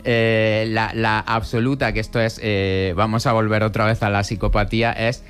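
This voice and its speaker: Un hombre que comenta películas en un programa de radio, explicando con calma entre muletillas y preguntas retóricas. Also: male voice, lowish voice, normal speed, soft background music. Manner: explanatory, with many fillers and tag questions. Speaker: radio film commentator. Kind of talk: casual radio chat about films.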